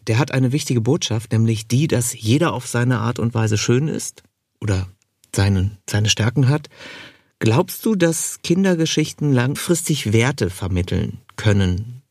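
Man, interviewer, average pitch, conversational questioning, dry studio-like sound. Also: lively intonation, moderate pace, darkish tone, dry recording.

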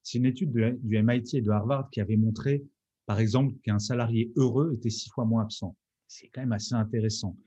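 Podcast host guy, warm mellow voice, a bit husky, playful and excited. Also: mid-range voice, fairly quick, muffled recording. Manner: enthusiastic, theatrical, jokey. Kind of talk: podcast interview.

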